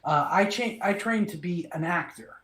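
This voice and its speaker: A middle-aged man from Minnesota recounts his acting career in a relaxed, storytelling way. He restarts his phrases often and gets animated when acting out what others said. The room around him is echoey and bounces his voice back. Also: high voice, normal speed. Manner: animated, restarts phrases, acts out quoted lines. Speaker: voice actor, interview guest.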